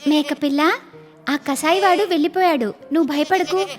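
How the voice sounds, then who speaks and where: A woman's voice: sits high, normal speed, faint music behind, close to an everyday voice. A woman voicing a kind, innocent little village girl in a cartoon moral story, earnest and pleading. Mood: soothing.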